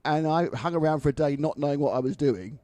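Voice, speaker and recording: A man's voice: fairly high pitch, fast, warm tone, no room sound. A man working as an interviewer on a live karting stream, telling stories and drifting into tangents. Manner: storytelling, tangent-prone, laughs easily. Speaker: interviewer on the broadcast. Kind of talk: anecdotal chat.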